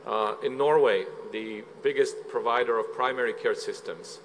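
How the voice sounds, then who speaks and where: A man's voice: medium pitch, slight background noise, rough recording. Slovenian man giving a conference talk. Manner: measured, explanatory, with frequent "uh" fillers. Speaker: conference speaker. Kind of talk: conference presentation. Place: conference hall.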